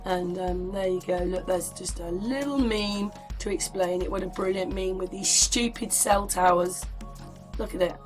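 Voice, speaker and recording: A woman's voice: on the low side, steady tone, moderate pace, soft background music. A woman presenting in a casual running commentary, with informal, exclamatory asides.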